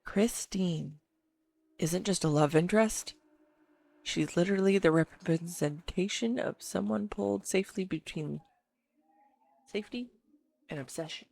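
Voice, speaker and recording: Girlfriend roleplay, female performer as excitable, devoted geek partner; deep-ish female voice, enthusiastic, halting, intimate one-to-one address. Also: gravelly, no room sound. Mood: earnest, absorbed. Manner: earnest, enthusiastic info-dumping with halting pauses. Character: excitable, affectionate neurodivergent girlfriend gushing over her favourite film. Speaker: amateur voice actor. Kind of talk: scripted roleplay audio monologue spoken to a listener.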